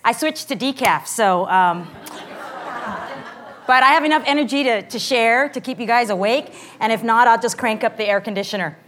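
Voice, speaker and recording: Woman in her forties, loud voice, lively joking speaker, women's gathering. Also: fairly high pitch, moderate pace, some chatter behind. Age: 40s. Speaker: guest speaker. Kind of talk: inspirational testimony talk. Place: room at a women's morning gathering.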